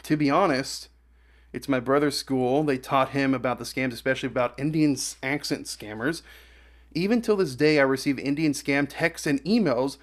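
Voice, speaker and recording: A man giving a casual reaction as a video host, reading comments aloud in a halting, stumbling way. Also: medium pitch.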